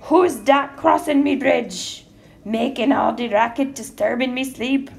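A woman's voice: high voice, big pitch swings, takes it slowly, soft background music, voice pushed up, full character voice. A woman voicing a mean, cranky old male troll in a storybook, roaring and bullying in island-flavoured speech. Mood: menacing, booming. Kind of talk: storybook character voice.

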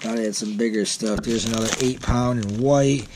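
A man's voice: fairly low pitch, fairly even intonation, moderate pace. Easygoing garage vlogger, man, 30s, talks off the cuff into a body cam with packaging noise all around. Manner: rambling, self-correcting, easygoing. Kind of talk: casual unboxing chat. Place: home garage, seated on a boat. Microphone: wearable action camera. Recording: packaging crackle over voice. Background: crinkling packaging.

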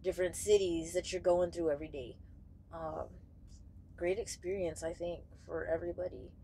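A young woman talking casually and candidly to camera in a halting way, searching for words as she goes. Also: on the low side, fairly even intonation, warm tone, slight background noise. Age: young adult. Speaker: truck driver vlogger. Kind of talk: casual vlog talk to camera. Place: small padded truck sleeper bunk, indoors.

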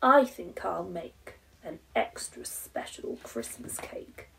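A man's voice: high voice, expressive, slow, gravelly, pushed and bright, distant mic, slight background noise, exaggerated voice, poor audio. A woman voicing a greedy, grotesque man in a children's storybook, exclaiming dramatically. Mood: scheming. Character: greedy, grotesque man, panicked by his monstrous cake. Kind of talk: children's storybook read-aloud.

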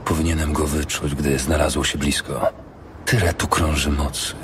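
An actor playing a steadfast, devoted human paladin in an audiobook drama, speaking in a measured, earnest way. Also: male voice, a bass voice, little pitch movement, very noisy. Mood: wary, serious. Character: steadfast human paladin warrior, devoted, faithful, quietly wry.

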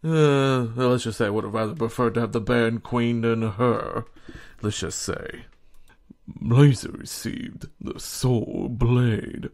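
A man voicing a mock-serious game narrator who drifts from slow announcements into puzzled asides. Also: medium pitch, steady tone, a little mellow. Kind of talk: amateur game fandub bloopers.

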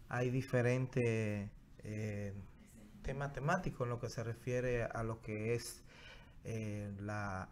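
A man, a guest journalist chatting haltingly with many fillers on a studio mic in a small TV studio. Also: lowish voice, darkish tone, slight background noise. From Dominican Republic. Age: 30s. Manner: hesitant, many fillers and restarts. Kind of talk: casual interview chat. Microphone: studio mic on stand.

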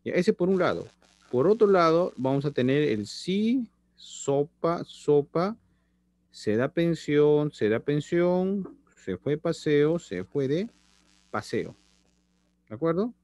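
A man's voice: a little high, big pitch swings, takes it slowly, darkish tone, no echo, clean studio sound. A middle-aged man teaching a chemistry class over a video call, repeating phrases and checking in with students. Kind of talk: online class lecture. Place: small room indoors. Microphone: laptop webcam on video call.

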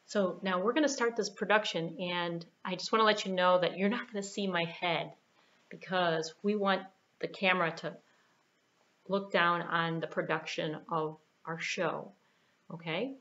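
A woman's voice: on the low side, muffled recording. A middle-aged American woman narrating a puppet show for children, with playful asides. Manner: unhurried, animated, playful asides. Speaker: library puppeteer and storyteller. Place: small indoor room.

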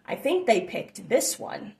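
A woman reading a children's picture book aloud with expressive, drawn-out phrasing and little asides to listeners. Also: mid-range voice, vocal fry. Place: small room at home, indoors.

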